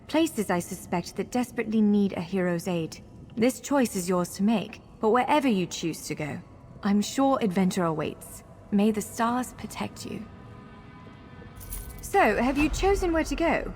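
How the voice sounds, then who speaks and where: A woman's voice: medium pitch, expressive, moderate pace, darkish tone, lightly acted. A woman playing an earnest, encouraging female elf scholar who guides a hero in a fantasy video game. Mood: solemn. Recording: long hall reverb, faint music.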